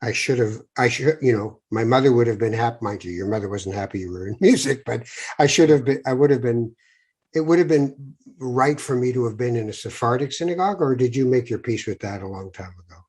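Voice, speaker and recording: A husky-voiced middle-aged man from Morocco hosts a relaxed podcast interview. He tells stories in an animated way, often stopping and restarting, and acts out the people he quotes. His pitch sits right in the middle for a man. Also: brisk. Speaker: podcast host. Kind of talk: conversational interview.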